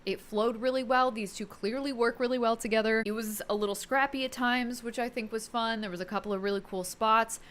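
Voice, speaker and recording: A woman giving a casual, opinionated vlog recap in an expressive, gushing manner. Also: fairly high pitch, a little fast, hardly any pauses. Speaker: wrestling review vlogger.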